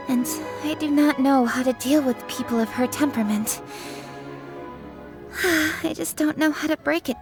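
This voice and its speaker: A woman's voice: high-pitched, close to an everyday voice. A woman voicing a dutiful, earnest young noblewoman official in a video game, with stiff, precise, formal diction.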